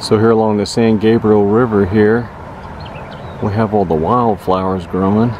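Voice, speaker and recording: A man's voice: on the low side, steady tone, normal speed, darkish tone, slight background noise. A man in his forties, a travel vlogger, chatting casually to a handheld camera outdoors and in his camper van. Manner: chatty and upbeat, with frequent fillers. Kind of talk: casual travel vlog with voice-over narration. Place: outdoors on a lakeside dam, and inside a camper van. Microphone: handheld camera at arm's length.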